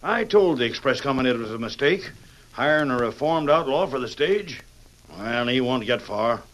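An actor playing a gruff, suspicious frontier sheriff in an old-time radio western. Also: male voice, medium pitch, lively intonation, normal speed, a bit bright, lightly acted. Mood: grimly resolved. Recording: noisy vintage radio recording.

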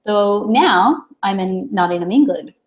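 A woman's voice: mid-range voice, animated, a little slow. A woman in her thirties from Texas, chatting casually as an interview guest, full of ums and easy laughter. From Dallas, Texas. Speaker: guest, events company founder.

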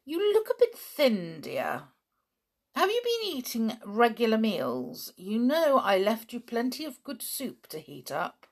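A woman's voice: fairly high pitch, huge pitch swings, unhurried, pitched above the performer's own voice, exaggerated voice. A woman voicing a caring wife who fusses reproachfully over her neglectful husband. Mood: fussing. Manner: reproachful and worried.

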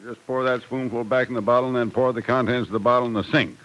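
A man's voice: medium pitch, fairly even intonation, quick pace, a bit bright, lightly acted, low-quality recording. An actor playing a stubborn, grumbling man who splutters protests like a big baby in an old radio drama. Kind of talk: 1940s radio comedy-drama acting.

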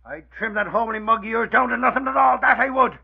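An actor playing a blustering, boastful, dim-witted tough newsman with a husky voice in a 1940s radio drama. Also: very high-pitched, quick pace, exaggerated voice. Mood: indignant, defiant. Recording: muffled old radio recording.

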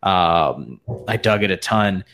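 Man, fairly low pitch, podcast panelist, casual slangy fan chat. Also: lively intonation, takes it slowly, creaky, a bit bright.

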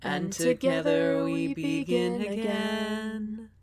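A woman singing a gentle lullaby-like song. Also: mid-range voice, slow pace. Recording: loud music under the voice. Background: loud music.

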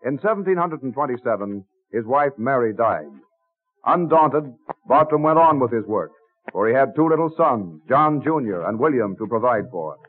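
A man giving formal, measured storytelling narration for an old radio drama. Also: mid-range voice, lively intonation, breathy edge. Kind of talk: mid-century American radio scripted narration. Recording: tinny old radio recording with music. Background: music.

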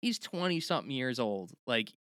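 New guy on a sports podcast, chatty and emphatic, bright clear tone, dry close sound. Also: mid-range voice, very animated, moderate pace, dry recording. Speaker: podcast co-host. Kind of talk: casual hockey podcast chat.

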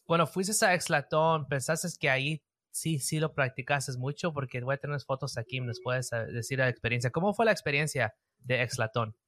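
Bilingual host guy, bright voice, mixes in english, dry sound. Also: medium pitch, fairly quick, no echo. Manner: mixes English fillers into Spanish. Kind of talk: casual interview chat.

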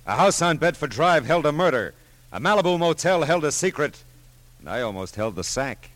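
An American actor playing a wry, world-weary but soft-hearted private detective in a vintage radio drama, clipped and slangy. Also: male voice, on the high side, very animated, moderate pace. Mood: dramatic teaser. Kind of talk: 1940s-50s American radio detective drama, first-person narration.

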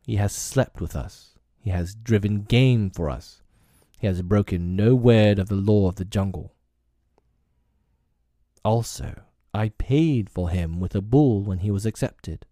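A man doing a sleek, dangerous panther with a gentle, quiet way of speaking. Slow and mellow in tone, close and dry. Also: on the low side, no echo, lightly acted. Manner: gentle, soft-spoken. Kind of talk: storybook reading.

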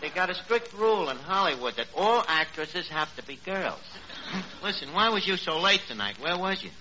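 An actor playing a gullible, self-important New York barkeep in 1940s radio comedy, using ungrammatical street talk with pompous airs. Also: male voice, sits high, sing-song, normal speed, sharp tone, full character voice. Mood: exasperated. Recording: muffled, noisy 1940s radio recording.

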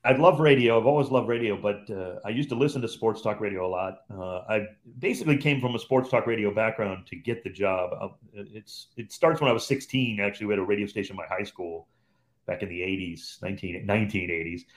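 A man in his fifties, originally from Cleveland, talks about his years in radio. His low, unhurried voice carries easy, loosely phrased recollections, the way a seasoned play-by-play commentator chats as a guest. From Cleveland, Ohio, USA. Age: 50s. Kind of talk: relaxed storytelling in conversation.

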